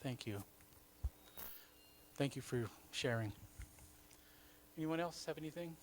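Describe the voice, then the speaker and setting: A man's voice: mid-range voice, animated, slow, slight background noise. A man leading a church recovery session, affirming people and drawing lessons from what they share. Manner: warm, affirming, reflective. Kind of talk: encouraging workshop talk. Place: church hall.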